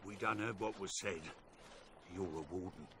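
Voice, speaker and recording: An actor playing a desperate, rough peasant bounty hunter in a fantasy game, with a rustic way of talking. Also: male voice, deep voice, normal speed, crowd noise. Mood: desperate, threatening.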